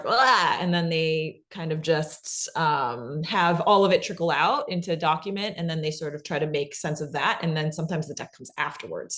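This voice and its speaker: A woman speaking as an expert guest in a casual panel talk, explaining things informally and often saying "like" and "you know". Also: sits low. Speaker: expert guest on pitching audio drama.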